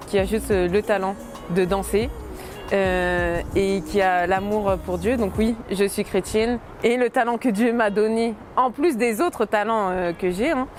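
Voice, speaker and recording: A woman in her late twenties, a dancer, talking casually and hesitantly in an interview, with many fillers and soft laughs. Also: mid-range voice. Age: late 20s.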